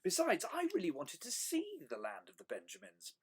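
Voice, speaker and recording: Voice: medium pitch, wildly swooping pitch, moderate pace, slightly rough, voice pushed up. A storyteller voicing a friendly, curious young dragon telling its own story, earnest and wide-eyed, with dramatic emphasis.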